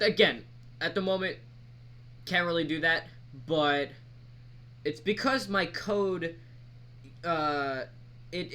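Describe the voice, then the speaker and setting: Halting man with a bright, forceful voice. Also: fairly high pitch. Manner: halting, rambling, informal. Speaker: mod creator presenting tutorial. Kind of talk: casual screen-recorded software tutorial. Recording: background noise, keyboard typing.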